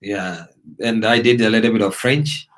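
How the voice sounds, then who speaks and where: Co-host, man from Ghana, low voice, thoughtful, searches for words as he talks. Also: steady tone, normal speed. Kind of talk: casual live-stream chat.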